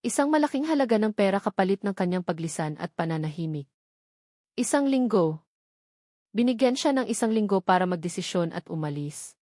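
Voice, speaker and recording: A woman with a Filipino accent narrating a dramatic scripted story in a measured storytelling manner. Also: mid-range voice, lively intonation, darkish tone, no room sound, clean studio sound. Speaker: audiobook narrator.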